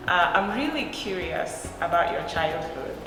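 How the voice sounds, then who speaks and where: A woman hosting an interview podcast, asking curious questions with many ums and soft mm-hmms. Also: fairly low pitch, lively intonation, unhurried. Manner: warm, curious questioning with frequent filler sounds and soft affirmations. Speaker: podcast host. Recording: echoey room with long reverb and music bed.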